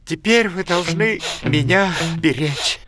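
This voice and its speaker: A man's voice: high-pitched, slow pace. A man voicing a crafty, lazy polar bear inventor in a cartoon, with a drawling, whiny, theatrical delivery. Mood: whining, feigning sickness. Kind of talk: cartoon voice acting. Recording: music under the voice.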